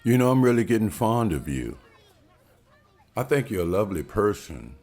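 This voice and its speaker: A man's voice: lowish voice, big pitch swings, moderate pace, darkish tone, some chatter behind. Man reading a courteous older suitor. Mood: tender. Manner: polite, earnest courting tone. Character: courteous older male suitor, earnest and formal. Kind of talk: table read.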